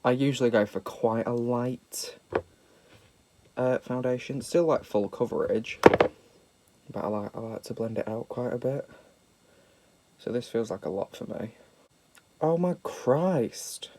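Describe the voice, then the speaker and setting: A man's voice: medium pitch, a little slow, pauses often, a little mellow. A young British man with a slightly nasal voice from a sniffle, chatting casually and self-mockingly through a makeup tutorial. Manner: sweary, camp and self-mocking. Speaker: makeup vlogger.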